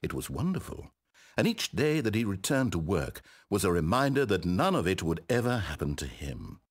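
A man's voice: deep voice, sing-song, moderate pace, breathy edge, exaggerated voice. A man with a British accent playing a wry, condescending, controlling narrator in a game, eloquent and theatrical. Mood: wistful storytelling. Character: wry, exasperated, controlling male storyteller narrator. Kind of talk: theatrical scripted storybook narration.

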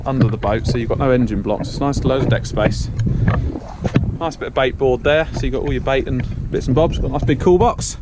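A man's voice: medium pitch, fairly quick. Chatty man on a windy boat. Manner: upbeat and chatty, thinking aloud with fillers. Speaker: fishing vlogger. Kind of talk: casual on-the-spot vlog narration. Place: outdoors on a small fishing boat at sea, windy. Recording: loud wind and water noise on an outdoor boat mic.

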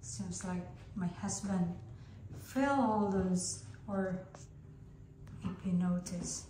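A woman walking through a room being renovated, chatting in a halting way and cheering on her husband's work, filming on her phone. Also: fairly low pitch, steady tone, warm tone. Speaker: vlogger showing home renovation. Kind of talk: casual home renovation walkthrough. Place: bare room under renovation, indoors. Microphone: phone held while filming. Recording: echoey empty room with background noise.

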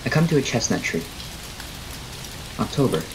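An actor playing a haunted astronomy professor, lone survivor of an alien invasion, narrating a radio play in a halting, brooding, literary way. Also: male voice, mid-range voice, sing-song, noisy background. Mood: grim, watchful. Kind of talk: dramatic first-person radio play narration.

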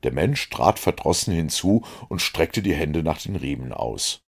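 A male audiobook narrator with a very low, gravelly voice. He tells the story in an even way with a dramatic lift, his pitch moving widely and his tone husky. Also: unhurried. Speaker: audiobook reader.

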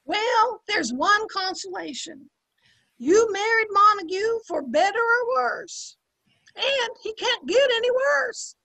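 A woman's voice: very high voice, expressive, slow, gravelly, sharp tone, full character voice, low-quality recording. An older woman playing a brash, sarcastic housemaid in an amateur old-time radio comedy, over a video call. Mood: dryly consoling. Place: room at home, video call.

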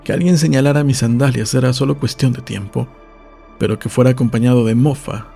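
Male narrator for literary audiobook, creaky mellow delivery, faint music underneath. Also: mid-range voice, fairly even intonation, fairly quick.

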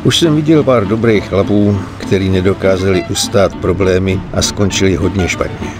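An actor playing an older, fatherly mafia adviser in a game cutscene, giving measured, stern counsel. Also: sits low, lightly acted. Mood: admonishing, earnest. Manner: measured, admonishing. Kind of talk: dramatic game cutscene dialogue. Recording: prominent music under the voice.

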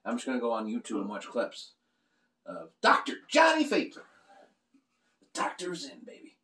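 A man's voice: medium pitch, moderate pace, vocal fry. A middle-aged man rambling and joking through a casual beer review, recorded indoors by a camera across the table. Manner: animated, joking, gestures a lot while talking. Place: small room lined with beer shelves, indoors. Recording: roomy, slightly distant sound.

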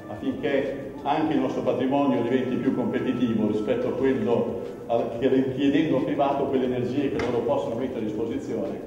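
A man speaking at a public event in a steady delivery, stumbling over words at times. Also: mid-range voice. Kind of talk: public talk. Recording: dull, echoey hall from far off.

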